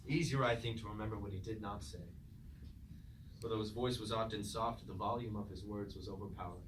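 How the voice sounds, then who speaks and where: Man acting humbled robot king, philosophical, low voice, archaic theatrical declamation, far from the mic. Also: fairly even intonation, fairly quick, close to an everyday voice. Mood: grave, reflective. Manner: stately, declamatory, archaic phrasing. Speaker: actor in a staged reading. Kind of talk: theatrical Greek-tragedy stage acting. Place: indoor room, staged reading at a university. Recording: distant, echoey recording, faint noise.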